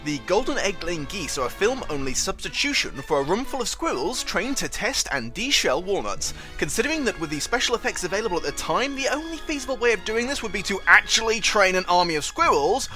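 A young man hosting a scripted comedic review, sarcastic and prone to bursts of mock outrage. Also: high-pitched. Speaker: video essay host.

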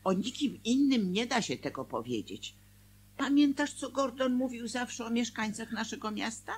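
An actress playing a fretful, worried wife in a radio play, speaking in a hesitant, emotional conversational way. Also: female voice, fairly high pitch, lively intonation, moderate pace, slightly rough. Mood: gossipy, disapproving. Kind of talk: Polish radio play acting.

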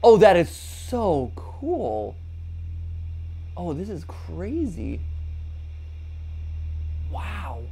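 A man in his thirties hosting a gaming stream with casual, animated, joking reaction commentary full of exclamations. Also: high-pitched, drawn-out words, creaky, long pauses, a little hiss or hum. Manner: animated, jokey asides, exclamatory. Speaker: livestream gaming host. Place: small furnished room, on a couch.

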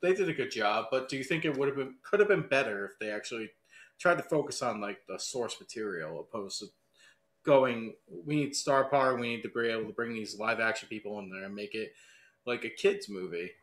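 A man's voice: medium pitch, expressive, fairly quick, fairly bright tone. A man on a podcast panel asking casual, opinionated questions in an echoey room.

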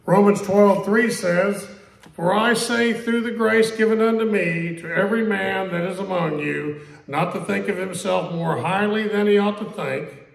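A pastor preaching a conversational sermon in a church, asking questions, repeating them and quoting scripture slowly. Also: male voice, high voice, vocal fry. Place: church sanctuary. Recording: echoey church room.

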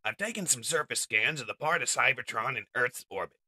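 A man's voice: mid-range voice, lively intonation, fairly quick, sharp tone, husky, no room sound, exaggerated voice. A man playing a pragmatic robot scientist who explains things matter-of-factly in a comic dub. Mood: analytical.

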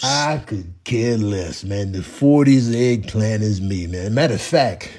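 A man's voice: a little high, a little mellow, pitched below the performer's own voice. A man playing a weary, defensive middle-aged grouch in a comedic monologue, grumbling in a weathered drawl.

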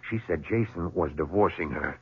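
A man's voice: low voice, normal speed, slightly rough, full character voice. An actor playing a desperate, suspicious man accused of murder, arguing insistently in a 1970s radio drama. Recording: muffled old radio broadcast.

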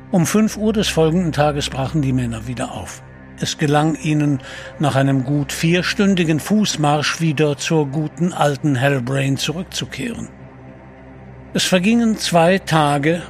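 An actor narrating a sea adventure audio drama as a solemn storyteller, measured and literary. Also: male voice, medium pitch, vocal fry.

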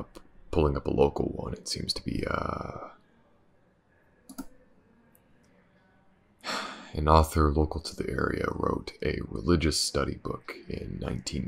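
Guy voicing a tired driven detective man, super deep voice full of fry, hesitant mumbly audio roleplay style. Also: heavily acted. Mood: tentative, focused. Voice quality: very deep, gravelly. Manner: hesitant, muttering, sighing. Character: weary, driven male detective investigating a dark mystery. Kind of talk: intimate audio roleplay acting.

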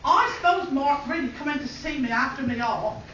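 A woman's voice: sits high, animated, brisk, a bit bright, slight background noise. A woman, reading a rhyming wedding speech from a sheet and chuckling often as she goes. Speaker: guest giving a wedding speech. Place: indoor party room at a wedding celebration. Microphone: camcorder from across the room. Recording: distant echoey dull camcorder audio with room chatter.

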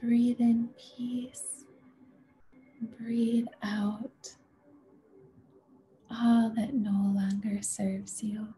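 A woman leading a guided meditation in a gentle, soothing, unhurried way, with a soft, breathy voice that often nears a whisper. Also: on the high side, flat delivery. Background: music.

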